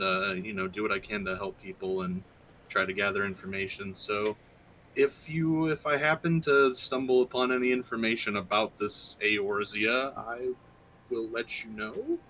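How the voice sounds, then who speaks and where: A man playing a tabletop game, speaking in character with a halting, stammering delivery. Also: medium pitch, bright, forceful tone, a little hiss or hum, low-quality recording. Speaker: player. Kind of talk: in-character role-play.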